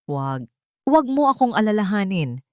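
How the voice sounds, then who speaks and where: A woman narrator plays a gravely hurt man in a melodramatic audio story. He speaks weakly and haltingly, tender and full of remorse, in a close, dry sound. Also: mid-range voice, big pitch swings, warm tone, dry recording. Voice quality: weak, halting, murmuring. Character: badly injured remorseful man, pleading tenderly, weakened.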